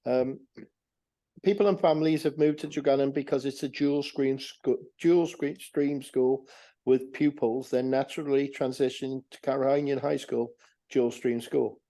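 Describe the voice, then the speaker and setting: Flat, dark-voiced man reading a statement. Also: medium pitch, moderate pace. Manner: reads steadily with small stumbles and restarts. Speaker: council committee member. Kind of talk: reading a prepared statement at a council meeting. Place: council meeting.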